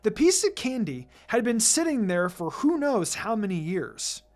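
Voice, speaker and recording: A narrator reading a fan fiction story aloud in an expressive, scripted storytelling style. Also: medium pitch, normal speed. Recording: music behind the voice. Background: background music.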